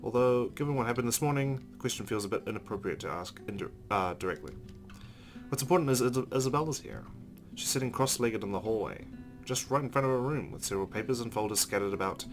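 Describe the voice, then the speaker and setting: A man's voice: mid-range voice, expressive, quick pace, soft background music. A man hosting a let's play, reading a visual novel's narration aloud with casual asides.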